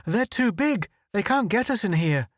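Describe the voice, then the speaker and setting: A man voicing a small, nervous, wisecracking photographer who talks in a quick, excitable, wry way. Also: sits high, dry recording, studio quality, pitched above the performer's own voice, exaggerated voice. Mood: excited.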